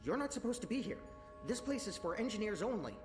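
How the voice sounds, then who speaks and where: Nervous stammering engineer dude, bouncy higher voice, roomy game sound with music. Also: male voice, sing-song, quick pace. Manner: stammering, flustered.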